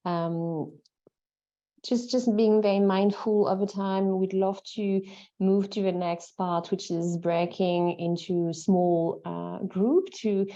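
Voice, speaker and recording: A woman's voice: lowish voice, vocal fry, warm tone. Woman facilitator on dull lo-fi call audio. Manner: polite, organized, explaining steps. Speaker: meeting facilitator. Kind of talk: facilitating an online consultation. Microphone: wired earphone mic on a video call.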